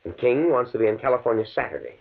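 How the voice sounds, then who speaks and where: An American actor playing a brooding, fatalistic professor bent on revenge in an old-time radio drama, speaking gravely and deliberately. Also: male voice, medium pitch, expressive, narrow, tinny audio. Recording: thin, band-limited vintage radio recording.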